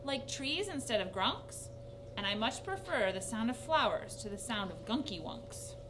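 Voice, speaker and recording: A woman voicing a sweet, bright young boy in a children's story, gentle and cheerful. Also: fairly high pitch, normal speed. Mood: curious, cheerful. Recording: noisy recording with steady hum.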